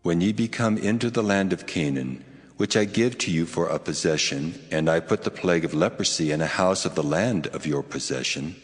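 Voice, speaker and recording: A man voicing the Lord, very deep and reverberant. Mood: solemn. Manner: solemn, deliberate decree. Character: the Lord, a commanding divine male voice. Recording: long hall reverb.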